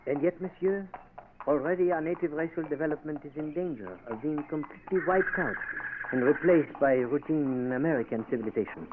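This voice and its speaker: An actor playing a smooth, courtly male government minister, in an old radio drama. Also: a little high, fairly quick, close to an everyday voice. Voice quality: smooth, courtly, French phrases. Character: suave scheming male Haitian health minister. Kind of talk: 1940s radio acting. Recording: noisy tinny old radio broadcast.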